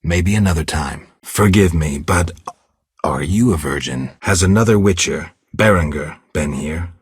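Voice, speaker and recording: A man playing a stoic, blunt monster hunter in a video game, with a gravelly, husky voice and a terse, deadpan manner. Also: low voice, a little slow, no room sound, clean studio sound, full character voice. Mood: level, terse. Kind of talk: video game dialogue acting.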